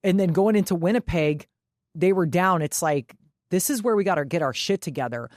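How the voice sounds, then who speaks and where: A woman's voice: on the low side, animated, brisk, a little mellow, no room sound. Blunt woman in casual sports chat, dry sound. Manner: blunt, emphatic, frequent swearing. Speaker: sports podcast co-host.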